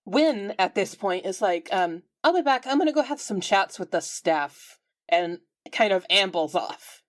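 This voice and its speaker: A woman's voice: mid-range voice, lively intonation, a little slow, no echo, clean studio sound. A woman at a tabletop roleplaying game, casually improvising and narrating what her character does.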